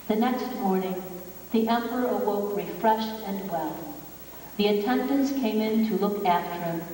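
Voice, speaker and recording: A woman narrating a scripted fairy tale in a measured, dramatic storytelling manner. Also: medium pitch, dark tone. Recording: very echoey hall, distant, faint noise.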